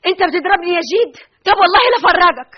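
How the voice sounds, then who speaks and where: A woman performs a coy, scheming mistress who teases and then turns defiant. She speaks rapidly, and the recording is muffled like an old radio broadcast. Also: a little high, natural voice. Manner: teasing, mocking. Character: flirtatious, scheming woman demanding marriage.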